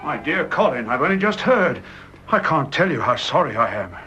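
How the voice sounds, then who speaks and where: An actor playing a sympathetic, hearty upper-class gentleman in a period radio drama. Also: a little high, sing-song, moderate pace, loud music behind the voice. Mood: sympathetic, shocked. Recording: dull, slightly noisy radio recording.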